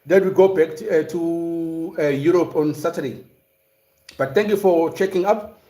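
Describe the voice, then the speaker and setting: A man from South Africa talks casually on a livestream, often pausing and restarting mid-sentence. His voice sits a little high for a man. The room gives it a noticeable echo. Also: mellow. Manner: hesitant, many fillers and restarts. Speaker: livestream host. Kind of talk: casual livestream commentary reading chat. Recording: roomy echo.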